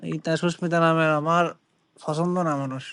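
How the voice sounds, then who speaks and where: A man's voice: on the high side, steady tone, brisk, fairly bright tone, no room sound. A young man chatting casually on a phone call, brusque and teasing, given to exclamations. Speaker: online video creator taking a call.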